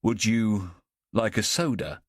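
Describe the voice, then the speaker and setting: A man voicing a calm adult policeman in an audiobook, questioning gently and steadily. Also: fairly low pitch, slow pace, no echo, clean studio sound. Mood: gentle coaxing.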